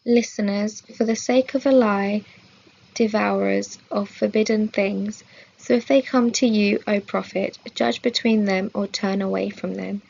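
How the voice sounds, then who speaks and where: Creaky-voiced woman reading a religious study. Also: mid-range voice, steady tone, unhurried, a little mellow, lo-fi sound. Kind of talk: scripted religious lesson read aloud.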